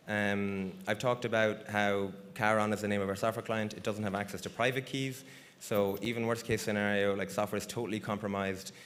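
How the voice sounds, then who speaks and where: Lowish guy on a conference stage mic, casual and rambly, lots of you knows. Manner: casual, loose, full of fillers like "you know" and "like". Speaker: conference speaker. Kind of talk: conference talk with audience Q&A. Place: large conference hall stage.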